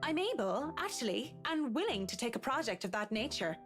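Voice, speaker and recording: A composed, principled architect, voiced by a woman in a fairly high voice. She speaks in a measured, formal way, cool and reproachful. Game music hums faintly behind. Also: big pitch swings, fairly bright tone. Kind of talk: game character voicing.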